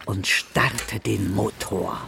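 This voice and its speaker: A man's voice: lowish voice, steady tone, drawn-out words, gravelly, exaggerated voice. A man playing a bewildered, scheming lawyer in an audio drama, with a puzzled, slightly affected manner. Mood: sly narrating. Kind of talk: dramatic acting with first-person narration. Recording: busy crowd-like background noise.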